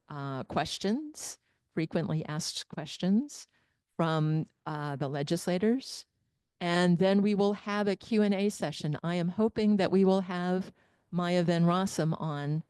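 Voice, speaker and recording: A woman's voice: on the low side, dark tone, smooth, no room sound. A woman facilitating a training session, laying out the agenda step by step in a meeting room, speaking into a handheld microphone. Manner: organized, walks through the agenda step by step. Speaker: workshop facilitator. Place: meeting room with people present and online.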